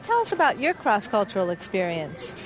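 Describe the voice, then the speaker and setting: A woman's voice: mid-range voice, huge pitch swings, a little fast, rough recording. A woman working as a field reporter, delivering scripted links and interview questions.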